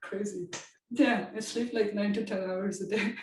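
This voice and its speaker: A woman's voice: medium pitch, measured pace, darkish tone, a little hiss or hum. A woman researcher answering interview questions casually, chatty and thinking aloud with frequent laughs. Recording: echoey room, distant from the mic.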